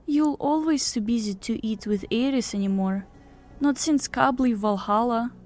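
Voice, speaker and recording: A woman's voice: fairly high pitch, normal speed, a little mellow, soft background music, natural voice. A woman voicing a fussy, affectionate young female harpy who talks in broken third-person speech in a fantasy audio story. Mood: pleading, shy.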